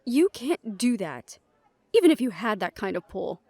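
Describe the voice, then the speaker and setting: A woman's voice: mid-range voice, sing-song, moderate pace, warm tone, no echo. A woman playing a protective, outspoken young woman, a loyal friend, earnest and direct in an audio drama. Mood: defiant.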